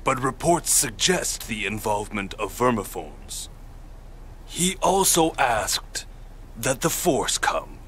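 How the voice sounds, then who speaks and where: A man's voice: medium pitch, big pitch swings, takes it slowly, sharp tone, slight background noise, full character voice. An actor playing an older royal retainer in a game, speaking formally and deferentially as he delivers messages. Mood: grave, formal. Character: old royal retainer, formal, urgent, respectful messenger.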